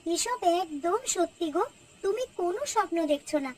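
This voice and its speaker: A woman's voice: extremely high pitch, expressive, normal speed, a little mellow. A woman voicing a meek, grateful young daughter-in-law in a children's cartoon, earnest and plaintive. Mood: reassuring. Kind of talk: Bengali children's cartoon voice acting. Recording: background music under the voice.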